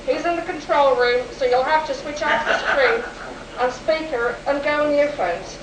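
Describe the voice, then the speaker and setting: A woman acts a brusque, grudging government official in a sci-fi radio play. Her voice is high, picked up from a distance in an echoey room with faint noise. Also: moderate pace, lightly acted. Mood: brusque, reluctant. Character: Ames, brusque government security undersecretary, reluctant official. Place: live convention performance, recorded from the room.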